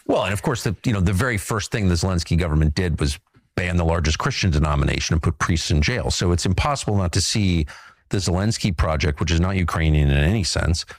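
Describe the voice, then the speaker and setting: A man speaking as a guest in a conversation, earnest and measured. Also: low voice, lively intonation, no room sound. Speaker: guest in a clip. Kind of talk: conversational interview.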